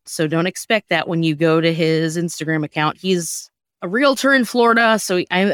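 A woman with a slightly low voice hosts in a casual, storytelling style. She talks candidly and colloquially, leaning on her key words. The sound is close and dry. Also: no echo. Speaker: podcast host introducing comedy sketches.

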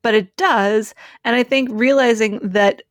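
A woman with a slightly high voice talks as a podcast co-host. Her style is conversational and reflective, articulately working through a point, and the sound is close and dry. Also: unhurried, dry recording. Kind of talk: casual conversation, reflective commentary.

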